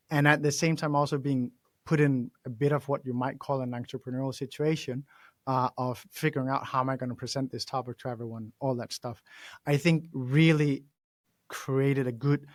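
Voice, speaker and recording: Man, Danish accent, podcast host, mid pitch, dry close sound, chatty and animated. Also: dry recording. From Denmark. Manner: chatty, animated, laughs often, frequent restarts. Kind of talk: casual interview conversation.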